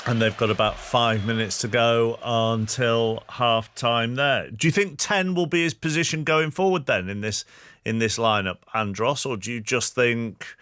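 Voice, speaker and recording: A man presenting a football talk show. His pitch sits in the middle for a male voice and the sound is close and dry. He frames questions and talking points for a panel. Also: a bit bright, no echo. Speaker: sports radio host.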